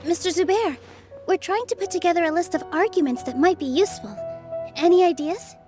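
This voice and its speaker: A high, youthful female game heroine, gentle and polite but quietly determined. She speaks earnestly and encouragingly, with light music underneath. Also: fairly quick. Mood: gentle, friendly. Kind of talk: video game voice acting.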